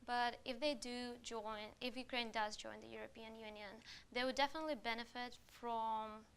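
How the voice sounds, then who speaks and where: A woman's voice: on the high side, steady tone, moderate pace, a bit bright, smooth. A young woman from Russia with a Russian accent, a student guest answering interview questions in a hesitant, unpolished way. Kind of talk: studio interview.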